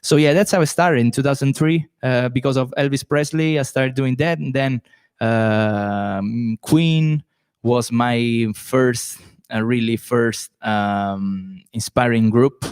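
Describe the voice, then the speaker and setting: A man's voice: mid-range voice, takes it slowly, darkish tone, smooth, dry recording. A man from Rome in his late twenties with an Italian accent, hosting a live podcast Q&A, chatty and hunting for words with many fillers. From Italy, Rome. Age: late 20s. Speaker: podcast host and musician. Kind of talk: live solo Q&A stream.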